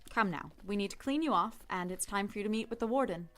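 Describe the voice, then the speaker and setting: An actress playing a brisk, no-nonsense female lab assistant who speaks curtly. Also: medium pitch, animated, a bit bright, close to an everyday voice. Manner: curt and businesslike.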